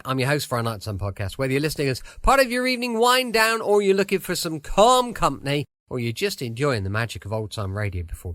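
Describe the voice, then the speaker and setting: A man hosting a podcast, giving a relaxed, chatty introduction from a home studio. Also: fairly high pitch, no room sound. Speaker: podcast host.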